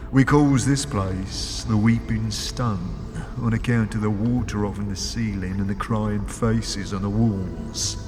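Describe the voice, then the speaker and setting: A man voicing a chatty, crude male ghost of a grave robber who speaks in a rough street dialect and cackles between his sentences. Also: lowish voice. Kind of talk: fan audio drama acting. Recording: long hall reverb under loud music.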